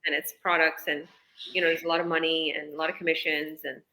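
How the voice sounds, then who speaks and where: A woman's voice: sits low, flat delivery, fast talker, pushed and bright, poor audio. A woman business coach from British Columbia answering interview questions in a casual, chatty way, explaining eagerly. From British Columbia, Canada. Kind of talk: live online interview answers.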